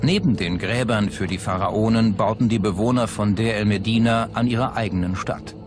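A man reading scripted documentary narration in a measured, factual way. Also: lowish voice. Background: background music.

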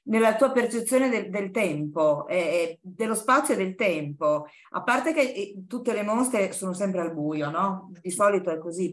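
An older woman shares her experience informally and with enthusiasm. Her voice is on the low side, and she speaks close to the microphone in a classroom. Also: fairly bright tone. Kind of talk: informal personal account in a seminar. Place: classroom, indoors.

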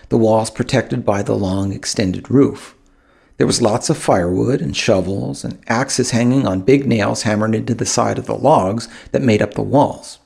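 A man narrating a first-person audiobook in an expressive storytelling manner, with dramatic pauses. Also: mid-range voice, creaky, breathy edge. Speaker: author narrating his own audiobook.

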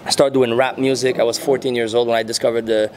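Quebec French-accented man in his thirties, slow reflective interviewee, light noise behind. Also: mid-range voice, flat delivery, darkish tone. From French-speaking Canada. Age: 30s. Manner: unhurried, searching for words, frequent fillers. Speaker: interviewee, muralist and rapper. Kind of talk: casual interview answers. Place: indoor venue before a painted backdrop. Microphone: handheld mics held near the chin.

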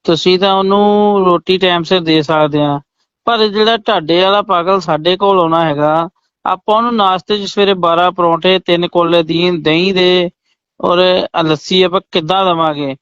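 A man's voice: high voice, steady tone, normal speed, a bit bright, clear, resonant tone, dry recording. A man with a Punjabi accent on a comic phone call, answering in protest and repeating himself. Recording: dull, lo-fi phone call recording.

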